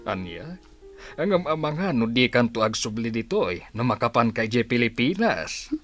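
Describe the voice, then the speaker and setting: A man's voice: medium pitch, big pitch swings, moderate pace, fairly bright tone, faint music behind. A man playing a stern, exasperated father in an Ilocano radio drama, with an Ilocano accent. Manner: scolding, exasperated. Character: stern, exasperated father scolding his daughter.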